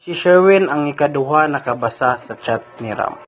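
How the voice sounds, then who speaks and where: A man's voice: on the high side, expressive, moderate pace. A man with a Filipino accent reading scripted narration for an amateur radio drama. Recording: dull lo-fi audio with music bed.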